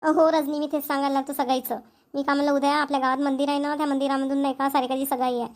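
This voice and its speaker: A woman voicing a busy village mother in an amateur cartoon comedy, speaking homely, repetitive Vidarbha Marathi in a hurried manner. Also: sits high, flat delivery, lightly acted. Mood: brisk, insistent. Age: middle-aged. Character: busy village mother rushing to invite neighbours to engagement.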